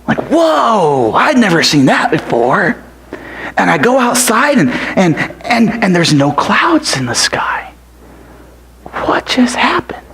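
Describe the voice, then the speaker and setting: Casting: a man doing an excitable young boy, high voice, hushed whispers when counting. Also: slow, gravelly, slight background noise, full character voice. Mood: excited, wondering. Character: eager eight-year-old boy, food-loving, wide-eyed. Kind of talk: character monologue storytelling.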